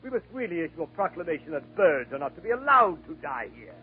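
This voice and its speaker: A man's voice: a little high, sing-song, quick pace. A man playing a vain, fussy town mayor, pompous and indignant, in 1940s radio acting. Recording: tinny old 1940s radio broadcast, faint music.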